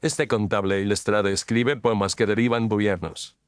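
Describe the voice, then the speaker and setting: Man voicing a precise commanding detective, lowish voice, dry close sound. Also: steady tone, moderate pace, clean tone, no echo, natural voice. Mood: measured. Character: cool, exacting male detective, middle-aged. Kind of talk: radio drama acting.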